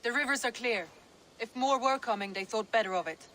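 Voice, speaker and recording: An actress playing a diplomatic viking advisor who speaks in a calm, reasoning way. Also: female voice, on the high side, brisk, slight background noise, natural voice. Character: female viking advisor, level-headed and diplomatic. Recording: game cutscene over music, a little distant.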